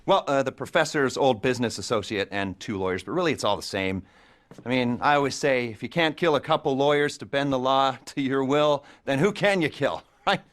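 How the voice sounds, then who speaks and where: A man plays a middle-aged crime boss in a video game who lays out his plans with dry sarcasm. He stumbles over his own jokes and covers them with nervous chuckles, at an ordinary mid pitch. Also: animated, brisk, fairly bright tone, lightly acted. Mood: wry. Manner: awkward, self-mocking, laughs nervously. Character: nervous, sardonic male criminal mastermind. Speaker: heist planner briefing a crew. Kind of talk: video game cutscene dialogue.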